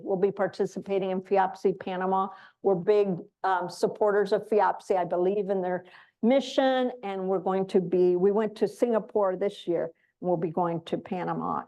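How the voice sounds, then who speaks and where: A woman's voice: mid-range voice, a little mellow. A woman speaking informally to an audience as an association representative, running through announcements. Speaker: association speaker on global programs.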